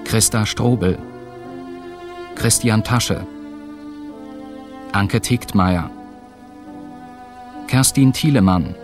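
A man formally announcing the credits of a radio play, reading out items one by one with pauses. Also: on the low side. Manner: formal, listing names with pauses. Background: string music.

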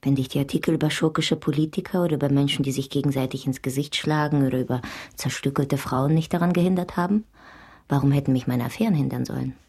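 An actress playing a cool, self-possessed female lover in a radio drama, husky and terse, with a teasing, matter-of-fact manner. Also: very deep voice, fast, warm tone, full character voice. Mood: teasing, brisk.

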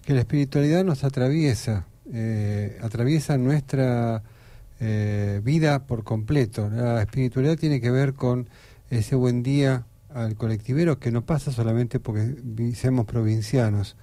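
Mellow-voiced man. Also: mid-range voice, unhurried, no room sound. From Buenos Aires. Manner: earnest, explanatory, with hesitant fillers. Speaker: radio guest. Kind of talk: radio talk show. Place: radio studio.

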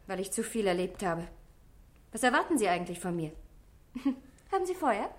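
A woman's voice: medium pitch, big pitch swings, normal speed, lightly acted. An actress playing a cool, world-weary, provocative woman of twenty-one, aloof and flippant, in a German radio play.

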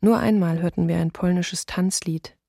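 A weary woman diarist in a wartime radio documentary drama. Her delivery is monotone and understated. The voice is husky with fry, recorded very dry. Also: fairly low pitch, normal speed, no echo. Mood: weary, matter-of-fact. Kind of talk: documentary radio play, diary reading.

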